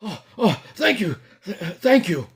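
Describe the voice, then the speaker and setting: A rattled elderly gentleman, as a man reading a storybook puts him on. He speaks faintly, in a raised, quavering voice. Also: high voice, big pitch swings, measured pace, husky, voice pushed up, full character voice. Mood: shaken. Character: shaken, fussy old gentleman.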